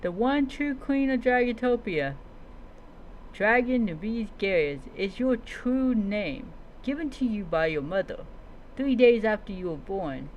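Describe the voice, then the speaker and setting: A woman's voice: medium pitch, expressive, unhurried, highs cut off, slight background noise, natural voice. A woman voicing a solemn old male dragon protector who takes human form, telling his tale dramatically in a fantasy roleplay. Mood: solemn, determined.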